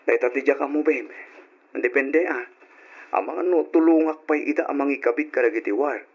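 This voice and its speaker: Husky easygoing young Ilocano fiancé. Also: male voice, medium pitch, fairly quick. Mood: casual, placating. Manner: affectionate, reassuring. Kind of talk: old-style Ilocano radio drama acting. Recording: muffled radio drama recording.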